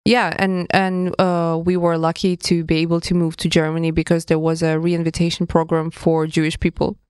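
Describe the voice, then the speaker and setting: A woman's voice: on the low side, level tone, normal speed, darkish tone, clean tone, no room sound. Woman in her thirties, thoughtful and hesitant podcast guest, from Russia; dry close studio mic. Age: 30s. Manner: thoughtful, hesitant, laughs often. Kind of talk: casual interview conversation. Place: studio room with table. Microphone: studio mic with headphones.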